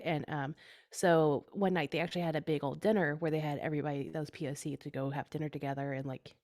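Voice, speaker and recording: Low-voiced chatty woman. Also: darkish tone. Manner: chatty, many fillers of "like". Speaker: panel guest. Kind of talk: casual panel discussion.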